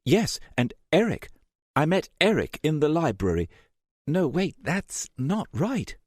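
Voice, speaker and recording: A man's voice: a little high, big pitch swings, a little slow, darkish tone, no echo, clean studio sound, pitched above the performer's own voice. A man voicing a frightened young woman journalist, dazed and struggling to remember. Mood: confused, searching.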